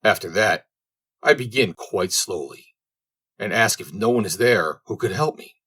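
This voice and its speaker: Casting: male reader playing languid, calculating manservant in a folk tale, lowish husky voice, in no hurry. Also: lively intonation, measured pace, sharp tone, heavily acted. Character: work-shy servant, languid and calculating.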